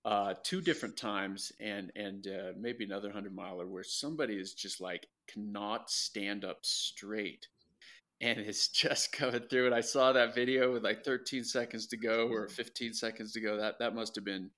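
A man's voice: on the low side, steady tone, moderate pace, sharp tone. A man co-hosting a running podcast in a casual interview, often repeating words as he starts his sentences. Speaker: podcast co-host.

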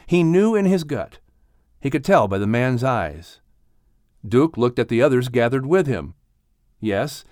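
A man's voice: medium pitch, a little mellow, no room sound, clean studio sound. A man narrating an audiobook story expressively, in a dramatic and earnest manner.

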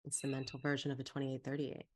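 Woman with a lowish voice, podcast guest, just talking things through casually and reflectively. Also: completely flat delivery, fast. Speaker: podcast guest, Human Design teacher.

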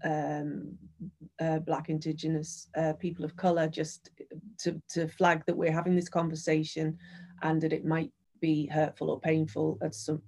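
Mellow-voiced woman interviewer, low. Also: monotone, normal speed. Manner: brief affirmations and clarifying questions. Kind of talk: podcast interview.

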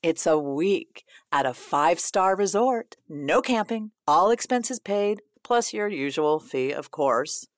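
An actress playing a scheming, imperious playwright in a radio play, with a melodramatic, emphatic delivery. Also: female voice, on the low side, animated, slow, few pauses, no echo, studio quality. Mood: sly, scheming.

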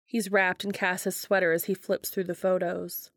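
Female story reader, slightly low register, audiobook-style narration of fiction, dry and close recording. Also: level tone, normal speed, a bit bright, no room sound.

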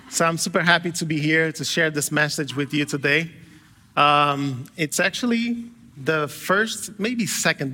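A man's voice: fairly high pitch, bright, forceful tone. A man from Brazil preaching a sermon to a church congregation. He speaks conversationally, often correcting himself mid-sentence and adding light humor. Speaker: guest pastor. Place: church sanctuary with a live congregation.

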